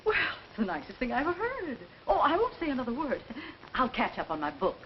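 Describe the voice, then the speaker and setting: A sweetly scatterbrained, talkative American woman in an old forties film. Her voice is high and very lively in pitch, over a noisy, dulled soundtrack. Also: brisk, lo-fi sound. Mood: airy, pleased. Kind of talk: 1940s film acting.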